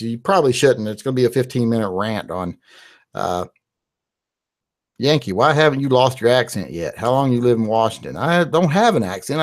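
A man in his forties from West Virginia, hosting a live-stream Q&A and answering viewer questions in a loose, rambling way with lots of "uh" fillers, recorded on a studio mic in a small home studio. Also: mid-range voice. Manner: rambling and conversational, with frequent "uh" fillers. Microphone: large studio mic on a boom, headphones.

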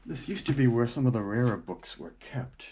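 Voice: mid-range voice, expressive, normal speed, warm tone. Male book seller, easygoing, stammers, background noise and clicks. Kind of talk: casual chat. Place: indoors, room of old books.

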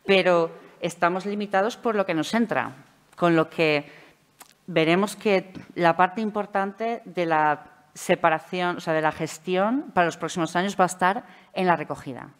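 A middle-aged woman with a Valencian Spanish accent giving a conference presentation from a table mic, measured and explanatory with frequent hesitation fillers. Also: sits low. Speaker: speaker at a public administration conference. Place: conference hall, seated at a table. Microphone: table microphone.

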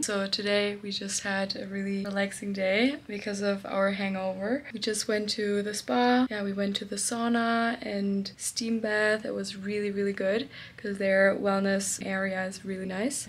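A young woman in her twenties chats into a handheld camera in a hotel room. Her delivery is upbeat and casual but her pitch stays fairly even. A faint hum of the room sits under her voice. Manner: chatty, upbeat, says "literally" and "really" a lot. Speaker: vlogger. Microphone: handheld camera at arm's length.

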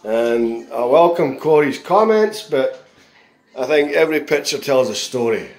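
A man reviewing a contractor's work in a handheld phone walkthrough of a half-tiled bathroom, speaking in a halting, critical way with many pauses. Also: mid-range voice, lively intonation, a little mellow. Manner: slow, halting, frequent pauses and restarts; disgruntled, critical. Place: bathroom under renovation, indoors. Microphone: phone camera held in hand.

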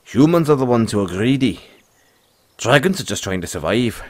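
A man voicing a friendly, philosophical dragon rider and gentle mentor in an audio roleplay, speaking in a folksy, earnest, musing way. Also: mid-range voice, sing-song, normal speed, no room sound. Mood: earnest, explaining. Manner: folksy, earnest, musing, says "aye" and "lad". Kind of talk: audio roleplay addressed to the listener.

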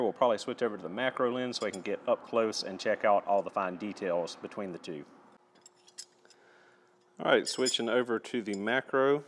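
A man's voice: lowish voice, a little hiss or hum. A man giving a casual, matter-of-fact and methodical product review as he presents tools.

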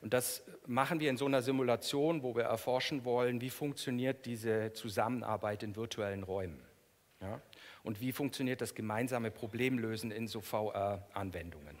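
A middle-aged man giving an academic keynote, explaining in a halting way with frequent hesitations. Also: medium pitch. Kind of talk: academic lecture, explanatory, semi-spontaneous. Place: lecture hall in front of an audience. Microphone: headset microphone. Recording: reverberant lecture hall sound.

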